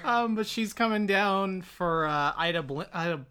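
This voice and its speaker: A woman's voice: mid-range voice, animated, normal speed, pushed and bright, clear, resonant tone. A woman with a mild Illinois accent co-hosting a podcast, chatting casually, self-deprecating and swearing freely. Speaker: podcast co-host. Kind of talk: casual chat.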